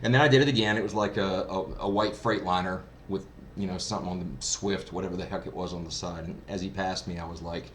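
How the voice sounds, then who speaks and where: Deep-voiced man chatting over noisy background. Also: steady tone, quick pace, fairly bright tone. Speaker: hobbyist product reviewer. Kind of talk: casual first-hand review vlog. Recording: clearly noisy background ambience.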